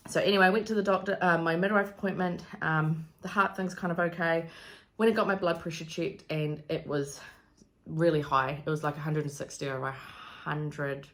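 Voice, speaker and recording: A woman in her thirties with a New Zealand accent and a low voice for a woman. She chats to the camera in a casual, rambling way, with plenty of ums and likes. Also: fairly bright tone. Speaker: vlogger. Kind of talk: casual pregnancy vlog.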